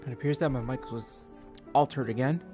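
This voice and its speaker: A man's voice: mid-range voice, fairly quick, over music. A man commenting casually as he streams a video game.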